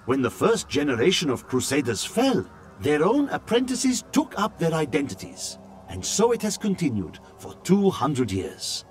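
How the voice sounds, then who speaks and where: An actor playing a learned scholar-chronicler, reading his journal as grave, intrigued, deliberate dramatic narration for a fantasy video game. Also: a little high, wildly swooping pitch, a little mellow, soft background music. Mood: reflective. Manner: theatrical, deliberate, storytelling delivery.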